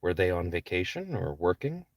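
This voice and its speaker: A man's voice: sits low, big pitch swings, moderate pace, dry recording, studio quality. A man reading his own novel aloud as a scripted audiobook narration. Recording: distant microphone.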